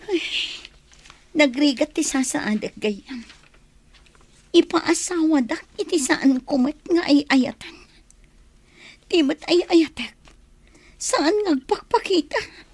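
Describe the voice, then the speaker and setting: An actress playing a troubled young woman in an Ilocano radio drama, with a husky voice and plaintive, drawn-out delivery. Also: sits high, animated, a little mellow, no echo. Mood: anguished. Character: troubled young woman pressed into an unwanted marriage.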